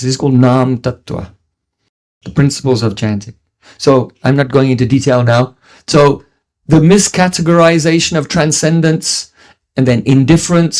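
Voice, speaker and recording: A man's voice: mid-range voice, a little mellow. A middle-aged man from New Zealand giving a devotional lecture, teaching earnestly with frequent restarts and chanted Sanskrit verses. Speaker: spiritual teacher.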